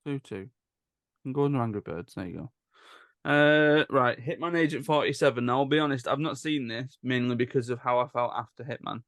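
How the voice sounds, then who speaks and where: A man's voice: medium pitch, fairly quick, fairly bright tone. A man hosting a casual chat, moving things along with short questions and dry quips. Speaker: podcast host moderating a ranking.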